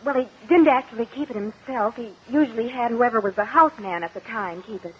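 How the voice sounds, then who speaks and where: An actress playing an uneasy, defensive young heiress, hesitant and guarded, in a 1950 radio courtroom drama. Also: female voice, fairly high pitch, brisk, close to an everyday voice. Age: young adult. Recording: muffled, hissy old radio recording.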